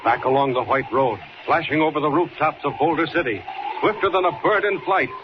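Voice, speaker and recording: A man's voice: on the high side, brisk. A man narrating a 1940s radio serial as its announcer, in dramatic style. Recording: muffled, noisy old radio recording.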